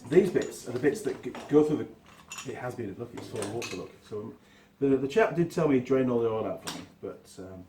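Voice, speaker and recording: A man, hoarse from a cold, hosting his workshop channel and chatting casually through a gearbox teardown with plenty of fillers. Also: medium pitch, fairly quick, a little hiss or hum. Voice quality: husky. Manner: chatty, relaxed, rambling with fillers.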